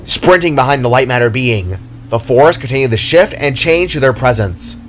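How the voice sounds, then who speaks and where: A man's voice: mid-range voice, animated, normal speed, a little hiss or hum. A man reading his fantasy story aloud as a dramatic scripted narration. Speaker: author narrating own story.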